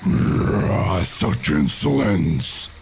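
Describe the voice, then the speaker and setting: A man's voice: deep voice, very animated, extremely slow, gravelly, noisy background, exaggerated voice. An actor playing a vicious, servile orc henchman in a fantasy game cutscene. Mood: gleeful menace.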